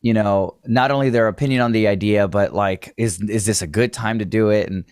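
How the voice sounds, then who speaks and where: A man's voice: on the low side, fairly quick, a little mellow, clear, resonant tone, no echo, studio quality. A man, chatting casually as a drummer guest on a video call, talkative and friendly with many fillers and restarts. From Houston, Texas. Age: late 20s. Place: home music studio room.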